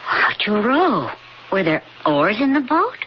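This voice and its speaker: An actress in a radio drama playing a concerned, sympathetic confidante who gently questions a troubled man, on a noisy vintage broadcast. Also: medium pitch, sing-song, measured pace, lo-fi sound. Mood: puzzled, probing. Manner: prompting with short questions, sympathetic.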